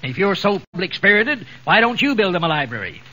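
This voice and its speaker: An actor playing a vain, blustering, middle-aged American husband in a 1940s radio comedy. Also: male voice, high-pitched, sing-song, fairly quick, pushed and bright, heavily acted. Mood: combative. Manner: boastful, flustered, combative. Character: blustering, vain small-town husband and prominent citizen. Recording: muffled, noisy 1940s radio recording.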